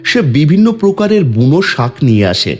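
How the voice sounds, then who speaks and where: A man's voice: on the high side, very animated, a little fast. A man narrating a scripted cartoon story as its storyteller. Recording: music behind the voice.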